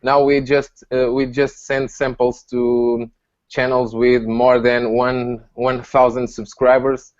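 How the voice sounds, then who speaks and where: A man with a Portuguese accent talks in halting English, often pausing to find his words and laughing at himself. His middle-pitched voice comes through a dull, lo-fi video call line. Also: little pitch movement. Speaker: guest reviewer. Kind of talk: casual chat on a video call.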